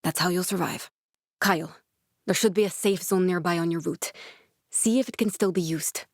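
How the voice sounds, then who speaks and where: An actress playing a guarded, driven scientist in a video game, speaking in a measured, clinical way. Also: female voice, fairly low pitch, dry recording, clean studio sound. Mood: focused, serious. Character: guarded, driven woman scientist guiding a survivor, dry and earnest. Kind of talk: video game character voice acting.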